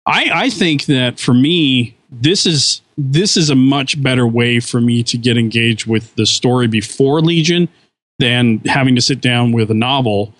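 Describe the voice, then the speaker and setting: A man's voice: mid-range voice, unhurried, fairly bright tone, no echo. Chatty man with a dry close sound. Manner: enthusiastic, rambling with frequent restarts. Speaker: podcast co-host. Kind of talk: casual podcast chat.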